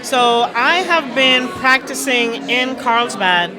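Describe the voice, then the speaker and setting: A woman's voice: fairly high pitch, animated, slow, low-quality recording. A young adult woman from Jamaica with a Jamaican accent, a doctor chatting in an interview at a party and speaking with conviction. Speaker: guest, Chinese medicine doctor. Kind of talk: event interview chat. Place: busy indoor party. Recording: crowd noise of a busy party. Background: crowd chatter.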